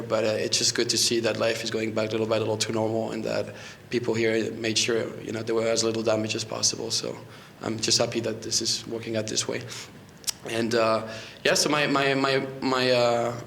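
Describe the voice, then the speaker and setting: A French-accented man in his thirties speaks from a podium mic in an echoey library room. His delivery is level and flat, chatty and full of restarts, with a faint hum behind him. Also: lowish voice, creaky. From France. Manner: conversational, frequent restarts and fillers. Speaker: guest lecturer. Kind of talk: public history lecture. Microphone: podium microphone.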